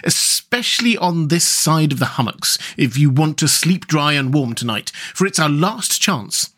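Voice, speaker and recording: A man voicing an excitable, bossy but kind-hearted male rat in a storybook, with an emphatic manner. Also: fairly high pitch, normal speed, voice pushed up, exaggerated voice. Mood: angry, urgent. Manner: exclamatory, impatient, emphatic. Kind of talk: storybook character voice.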